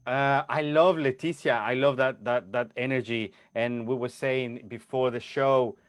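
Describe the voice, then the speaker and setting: A man's voice: medium pitch, steady tone, unhurried, no echo. A man hosting a casual interview over a video call, effusive and prone to repeating his words. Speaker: show host.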